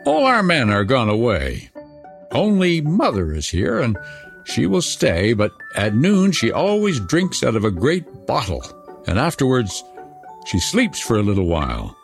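A man's voice: mid-range voice, wildly swooping pitch, a little slow, gravelly, soft background music, full character voice. A man voicing a wild, threatening little robber girl in a storybook reading, bossy and menacing. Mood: commanding.

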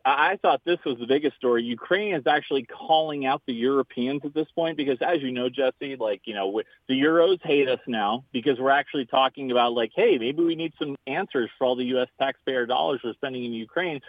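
A man's voice: medium pitch, normal speed, no echo. A man in a casual, opinionated chat as a guest, talking bluntly with a joking swagger. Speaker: guest, podcast host. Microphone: phone line. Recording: tinny phone line.

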